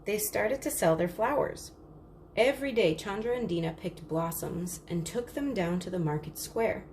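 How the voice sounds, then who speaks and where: A woman reading a children's story aloud in a measured, expressive storytelling manner. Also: medium pitch. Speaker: storybook reader. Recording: clearly noisy background.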